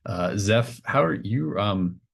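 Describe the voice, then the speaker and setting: A man narrating a tabletop roleplaying game as game master, improvising in a halting, conversational way. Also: lowish voice, animated, gravelly, a bit bright. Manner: halting, conversational, pieces scenes together aloud.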